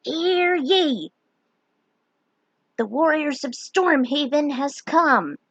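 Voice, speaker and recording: A woman's voice: sits high, animated, very slow, a bit bright, no echo, dull audio, voice pushed up, exaggerated voice. A woman voicing a joyful, theatrical court jester welcoming travelers in a fantasy story. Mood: proclaiming.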